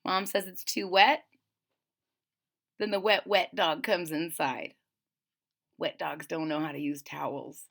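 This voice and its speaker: A woman reading a picture book aloud to children, expressive and chatty, adding friendly asides between the pages. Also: low-pitched, takes it slowly, gravelly, long pauses. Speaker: storybook reader.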